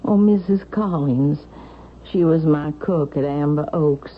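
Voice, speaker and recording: A woman's voice: low-pitched, measured pace. An actress playing a proud, imperious older mother who hides her fear, in a 1970s radio drama. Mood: disdainful. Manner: imperious, disdainful. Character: haughty, proud, fearful older mother, once wealthy. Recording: tinny, hissy vintage radio recording.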